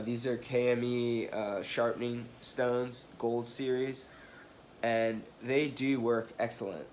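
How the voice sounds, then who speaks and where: Guy with a blocked-up nose chatting casually about his kit, keeps restarting, faint hiss behind. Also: mid-range voice. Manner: rambling, apologetic, many fillers and restarts. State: stuffy nose from allergies or a cold. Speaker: knife review vlogger. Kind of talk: casual rambling product review.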